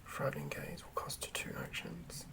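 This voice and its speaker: A person whispering breathily and deliberately close to the microphone, as the host of a fantasy game ASMR read-through. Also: distant mic, poor audio. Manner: hushed, deliberate phrase-by-phrase reading. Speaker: host and game master reading a monster stat block.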